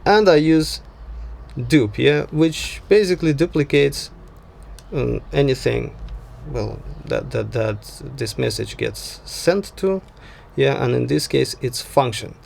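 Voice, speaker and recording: A man narrating an unscripted software tutorial as the tutor, talking haltingly and often checking in with a short tag word. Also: medium pitch, expressive, creaky. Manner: halting, frequent "yeah" check-ins. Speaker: sound design tutor.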